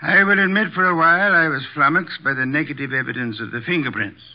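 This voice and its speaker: Man playing erudite detective, formal diction, commanding, raised male pitch, muffled 1950s radio sound. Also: natural voice. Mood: self-assured. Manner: pedantic, formal, deliberate diction. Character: imperious, erudite armchair detective, exacting older man. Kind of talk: 1950s radio drama acting. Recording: dull vintage 1950s radio recording.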